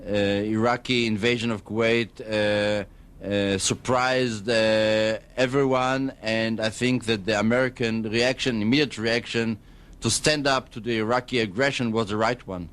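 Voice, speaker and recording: Guy, really slow careful spokesman talk, lots of ums, smooth voice. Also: fairly low pitch, steady tone, fairly bright tone. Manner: halting, measured, hesitates very often. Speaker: guest, government spokesman. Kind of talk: formal diplomatic radio interview answers.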